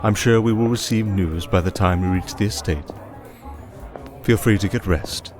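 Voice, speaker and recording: A man playing a scheming, refined vampire archduke in an ASMR audio drama, speaking in a measured, formal, condescending way. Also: lowish voice, natural voice. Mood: pleased, teasing. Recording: music bed under the voice.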